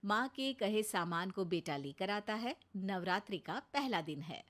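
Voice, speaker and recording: A woman's voice: mid-range voice, animated, unhurried, fairly bright tone. A woman narrator reading a scripted cartoon moral story.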